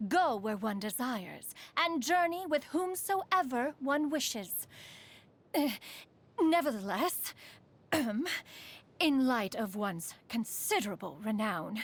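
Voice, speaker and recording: A woman playing a proud, aloof immortal crane in human form for a game, with refined, formal, archaic speech. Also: on the high side. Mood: amused, proud, then stately, composed. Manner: haughty, formal, archaic speech, calls herself "one". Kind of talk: scripted game character voice lines.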